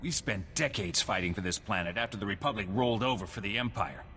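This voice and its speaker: A man's voice: lively intonation, quick pace, pushed and bright, faint music behind. A man playing a bitter, defiant, accusing resistance fighter in a video game. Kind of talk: scripted video game cutscene acting. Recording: hall reverb with faint rumbling noise.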